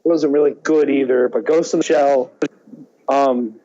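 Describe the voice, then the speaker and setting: A man co-hosting a podcast, chatting casually and thinking aloud in halting, hesitant phrases. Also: mid-range voice, steady tone, dark tone, lo-fi sound. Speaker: podcast co-host. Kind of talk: casual chat about movies and games.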